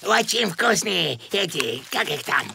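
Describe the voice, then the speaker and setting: A woman voicing a sly, grumbling cartoon porcupine thief with a gravelly, theatrical, wheedling delivery. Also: on the low side, sing-song, slow pace, bright, forceful tone, slight background noise, heavily acted. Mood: gloating. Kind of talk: animated children's cartoon acting. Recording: music behind the voice.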